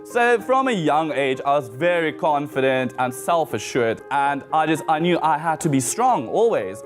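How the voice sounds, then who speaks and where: A man's voice: on the high side, very animated, normal speed, over music. A man in his twenties with a South African accent, a guest talking casually and laughing often in an interview. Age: 20s.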